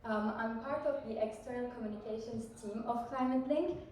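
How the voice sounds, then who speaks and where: A young woman from Turkey, a university student, introduces herself in a clear, prepared way. She is recorded from a distance in a very echoey room, with faint noise underneath. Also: on the high side, normal speed, darkish tone. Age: young adult.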